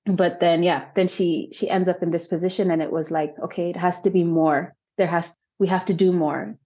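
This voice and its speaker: Low-voiced woman filmmaker, thoughtful. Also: fairly even intonation, moderate pace, dark tone, muffled recording. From South Sudan. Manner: thoughtful, many restarts and hedges. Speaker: filmmaker guest. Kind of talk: reflective interview answers.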